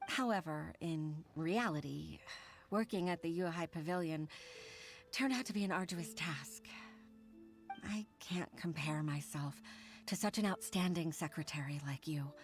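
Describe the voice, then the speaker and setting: A woman's voice: low voice, measured pace, faint music behind. A woman playing an earnest, modest and respectful working mother in a video game, speaking politely and sincerely with some hesitation. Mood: self-doubting. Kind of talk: dubbed game dialogue acting.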